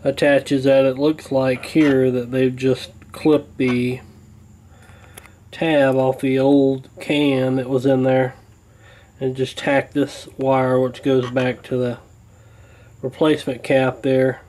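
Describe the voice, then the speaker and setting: A man's voice: medium pitch, level tone, takes it slowly, darkish tone. A man giving a casual, hands-on repair walkthrough in a folksy, colloquial way, thinking aloud as he goes. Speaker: radio repair hobbyist presenting.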